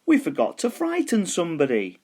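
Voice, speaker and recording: A man voicing an excitable, puzzled, playful big skeleton from a children's storybook, with an exclamatory, wondering delivery. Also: high-pitched, fairly quick, creaky, pitched above the performer's own voice, full character voice. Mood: puzzled, keen.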